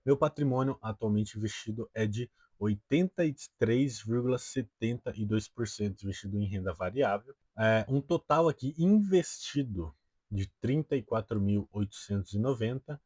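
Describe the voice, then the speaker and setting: A young Brazilian man of twenty hosts a casual finance explainer. His voice sits on the low side, recorded dry and close. He talks straight to his viewers and keeps dropping little tag questions into his sentences. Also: normal speed, a little mellow, husky, no room sound. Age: 20 years old. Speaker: personal finance video host.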